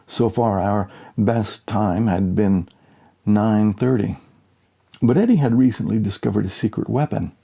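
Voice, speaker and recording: A man narrating a humorous short story as an audiobook, with dry, measured storytelling. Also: lowish voice, vocal fry, warm tone, breathy edge.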